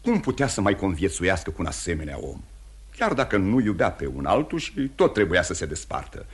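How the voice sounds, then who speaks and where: Older family friend, male, radio drama: deep, rough-edged, calm, deliberate and courtly. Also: exaggerated voice. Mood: reproachful, persuasive. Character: calm, kindly older gentleman friend, gently admonishing. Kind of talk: theatrical radio drama acting.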